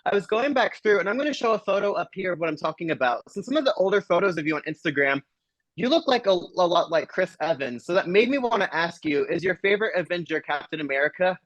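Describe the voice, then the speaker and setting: A man's voice: sits high, animated, fast, a bit bright, dry recording, highs cut off. A man hosting a casual talk show, asking rambling, mock-serious questions in a playful way.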